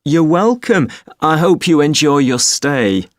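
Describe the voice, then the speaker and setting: Male actor as patient festival information clerk, polite, unhurried delivery, very dry studio sound. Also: a little high, lively intonation, no room sound. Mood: helpful, polite. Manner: measured, helpful, spells words out slowly. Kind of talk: acted listening-test dialogue, clearly enunciated.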